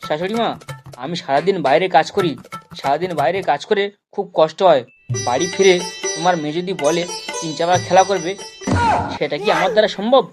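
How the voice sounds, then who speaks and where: A man's voice: high-pitched, brisk, natural voice. A man playing an aggrieved, crude village husband in a comedy cartoon, complaining in everyday words. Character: aggrieved, foul-mouthed village husband and son-in-law. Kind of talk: Bengali comedy cartoon dialogue. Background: background music.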